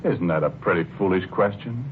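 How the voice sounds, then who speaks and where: An actor playing a gentle husband obsessed with his flowers, speaking haltingly and earnestly in 1940s radio drama style on a muffled old recording. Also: sits low, background noise, natural voice. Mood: mild, defensive. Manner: halting, earnest, repeats phrases.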